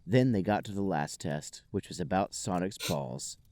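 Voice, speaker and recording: A man's voice: deep voice, lively intonation, moderate pace, warm tone, no echo. A man hosting a podcast, narrating amateur fan fiction for comedy in a mock-serious way. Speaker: podcast host.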